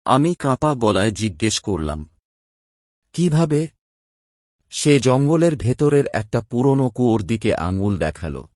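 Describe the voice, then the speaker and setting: A man telling a first-person horror story in a hushed, dramatic way. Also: on the low side, very animated, normal speed, no echo, clean studio sound. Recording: spooky music bed under narration.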